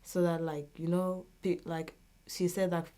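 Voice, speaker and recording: Female student, lower register, relaxed timbre, halting informal interview delivery. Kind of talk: casual interview talk.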